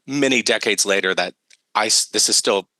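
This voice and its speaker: A man guest on a podcast, reminiscing with gently self-deprecating humor. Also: on the low side, normal speed, no room sound. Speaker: guest, early childhood educator. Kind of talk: conversational podcast interview.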